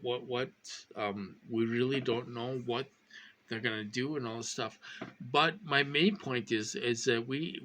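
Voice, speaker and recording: Fifty-year-old man, slow and halting, bright tense tone, anxious and insistent. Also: medium pitch, slight background noise. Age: 50s. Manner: halting, repeats himself, many fillers. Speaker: vlogger. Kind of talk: rambling personal monologue.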